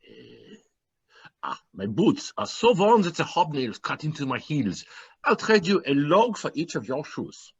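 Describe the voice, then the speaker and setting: A man's voice: on the high side, very animated, a little slow, breathy edge, no echo, highs cut off, exaggerated voice. A man acting a bleak, callous woodcutter father from a fairy tale, in a dramatic, confessional stage-play style. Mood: bitter.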